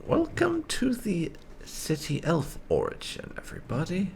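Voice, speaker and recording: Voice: mid-range voice, wildly swooping pitch, measured pace, creaky, slight background noise. A gaming host giving casual let's play commentary with some light roleplay. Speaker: gaming video host, player.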